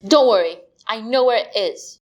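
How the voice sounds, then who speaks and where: A woman's voice: fairly high pitch, normal speed, darkish tone, lightly acted. A woman playing a cheeky, earnest young lad helping investigate in an amateur comedy murder mystery, chatty and colloquial.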